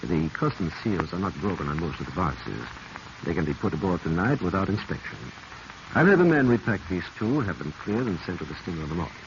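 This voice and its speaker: Deep-voiced, suave official. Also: male voice, big pitch swings. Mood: courteous. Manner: formal, polite, measured phrasing. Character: suave, courteous foreign official, quietly shrewd. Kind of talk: 1940s radio acting. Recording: dull, noisy vintage radio recording.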